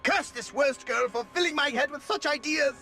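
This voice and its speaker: A man's voice: very high voice, normal speed, pushed and bright, faint music behind, exaggerated voice. A man voicing a pompous, scheming, hot-tempered alien commander in a video game, theatrical and wordy. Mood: wistful, bitter. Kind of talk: video game cutscene acting.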